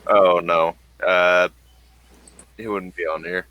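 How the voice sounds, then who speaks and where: Slow, halting male game master. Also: sits low, steady tone, dry recording, lo-fi sound. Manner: halting, many ums and restarts. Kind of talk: running a tabletop game over voice chat.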